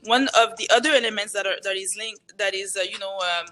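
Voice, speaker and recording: Earnest bright-voiced Congolese-born woman. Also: mid-range voice. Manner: earnest, halting with repeated restarts. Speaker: borough mayor, guest. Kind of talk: radio interview conversation. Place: radio studio.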